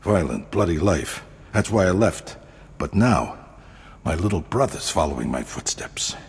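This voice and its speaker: An actor playing a weary, streetwise ex-gangster who talks colloquially in a video game cutscene. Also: male voice, sits low, very animated, a little slow, gravelly, breathy edge, full character voice. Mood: urgent, grim. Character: weary ex-gangster man, cautious streetwise older brother.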